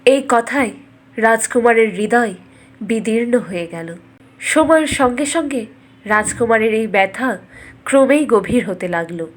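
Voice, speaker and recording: A woman tells a scripted fairy tale in a fairly high voice. Her delivery is dramatic and expressive, like a storyteller reading for a cartoon. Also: unhurried.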